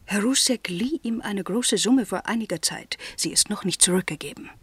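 A woman playing a discreet, soft-spoken English miss acting as go-between in a 1970s German radio play, with hushed, halting phrasing. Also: medium pitch, dry recording. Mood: confiding. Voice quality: breathy, hushed.

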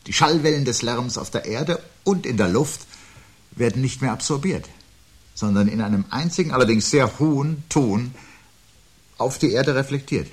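A man's voice: mid-range voice, expressive, moderate pace, slightly rough. An actor playing a weary, gloomy intellectual man in a radio play, speaking in a pensive, pedantic and declamatory way. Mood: ominous, lecturing. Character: gloomy, weary intellectual man who dreads birds and doom. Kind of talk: 1970s German radio play dialogue. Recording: hissy 1970s radio recording.

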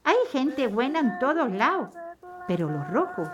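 A woman playing a busy housewife mother, brisk and fussing gently at her son in a radio drama. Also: sits high, very animated, highs cut off, over music. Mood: cariñosa. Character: harried housewife mother, middle-aged, busy and fond. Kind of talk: amateur radio drama acting.

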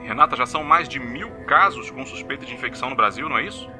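A serious Brazilian male news anchor acted by a man reads an audio drama bulletin. He is formal and measured, with music clearly heard behind him. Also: mid-range voice, lively intonation, close to an everyday voice.

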